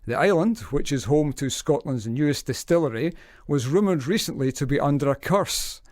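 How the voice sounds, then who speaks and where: An actor playing a formal radio newsreader in an audio drama, reporting in a scripted bulletin style. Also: male voice, a little high, animated, moderate pace, darkish tone, dry recording, clean studio sound, lightly acted. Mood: brisk, formal. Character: formal male radio newsreader, easily flustered. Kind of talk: news reading in audio drama.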